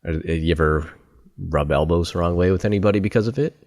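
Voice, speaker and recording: Very deep-voiced male podcast host. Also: fast, darkish tone, dry recording, clean studio sound. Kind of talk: casual interview.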